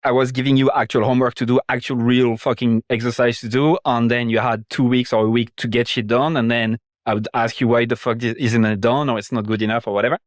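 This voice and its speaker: A man's voice: mid-range voice, steady tone, fast, hardly any pauses, no room sound. Blunt male interviewer, dry close sound. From Ireland or living there. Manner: blunt, sweary, informal. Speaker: interviewer, host and marketing consultant. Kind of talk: casual probing interview.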